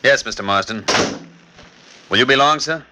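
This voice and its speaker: An actor playing a polite, dutiful chauffeur in a 1940s radio drama. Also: male voice, medium pitch, moderate pace, background noise, lightly acted. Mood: deferential. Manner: respectful, explaining meekly. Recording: old 1940s radio broadcast.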